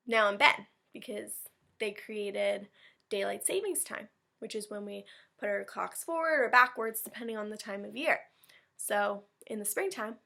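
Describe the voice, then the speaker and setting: A woman's voice: mid-range voice, creaky, pushed and bright. A woman teacher reading a story aloud to a class, adding casual asides and simple explanations for children.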